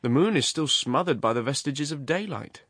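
A man acting a high, nervous, reluctant gentleman. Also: lively intonation, moderate pace, no room sound, studio quality. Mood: casual, uneasy. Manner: nervous, artificial, strained. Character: uneasy, reluctant man with snarling nervous politeness. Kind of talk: dramatized storybook narration.

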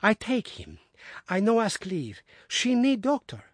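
Man doing a young foreign maid, high, frightened, broken English, short clipped phrases. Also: expressive, moderate pace, fairly bright tone, dry recording. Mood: pleading.

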